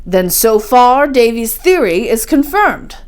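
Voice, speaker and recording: A woman's voice: a little high, sing-song, slow. A woman voicing a learned, confident older professor uncle in a story, speaking in an assured, didactic way.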